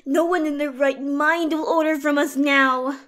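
A woman playing an excitable, brisk teenage gang girl in a comic dub. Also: sits high. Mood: worried.

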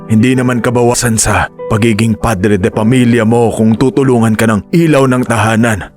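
A man's voice: fairly low pitch, fairly even intonation, moderate pace, music in the background, lightly acted. A man playing an older father who scolds his grown son in a radio drama. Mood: scolding. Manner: stern fatherly advice. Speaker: voice actor.

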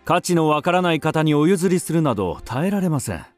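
A lilting, flattering antique shopkeeper. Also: male voice, a little high, brisk, soft background music. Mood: fawning. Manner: florid, deferential salesman's speech. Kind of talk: radio drama acting.

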